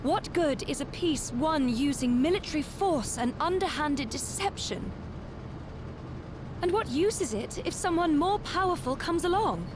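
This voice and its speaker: A woman's voice: high-pitched, animated, a little slow, background noise. A woman playing a dignified, righteous young princess in a video game, speaking formally and earnestly. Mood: indignant. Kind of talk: video game cutscene voice acting. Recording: loud orchestral music behind. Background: dramatic game music.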